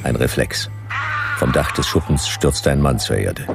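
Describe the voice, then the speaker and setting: An actor playing a hard-boiled detective who narrates his own story tersely in a radio play, with a gravelly voice. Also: very deep voice, unhurried, over loud music, heavily acted. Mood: terse, grim. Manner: terse, clipped sentences.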